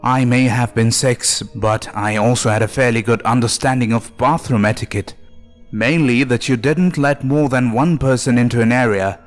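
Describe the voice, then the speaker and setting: A man's voice: medium pitch, moderate pace. A man reading a scripted first-person horror story as narrator, with music behind him.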